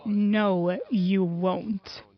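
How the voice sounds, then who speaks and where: A woman's voice: mid-range voice, fairly even intonation, drawn-out words. Young woman as a blunt, lecturing teen friend. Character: blunt teasing teenage girl friend. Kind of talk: amateur audio drama acting. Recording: muffled lo-fi recording.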